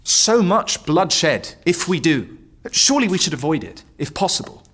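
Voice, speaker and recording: A man playing a hesitant, peace-seeking French king in an audio drama, with gently formal, indulgent speech. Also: a little high, very animated, normal speed. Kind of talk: historical audio drama acting.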